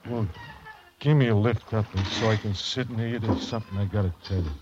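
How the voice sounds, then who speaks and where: A man's voice: lowish voice, fairly even intonation, fairly quick, muffled recording, close to an everyday voice. A man playing a delirious, good-natured wounded sailor in a 1940s radio drama, rambling and stammering. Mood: earnest, confused. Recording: noisy, band-limited old radio recording.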